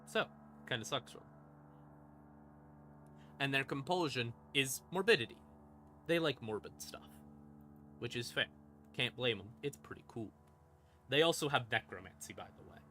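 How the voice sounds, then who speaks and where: A man's voice: medium pitch, moderate pace, long pauses, sharp tone, a little hiss or hum. A man streaming a casual explanation of game lore, informal, with dry asides and dramatic emphasis. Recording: music behind the voice. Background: creepy ambient music.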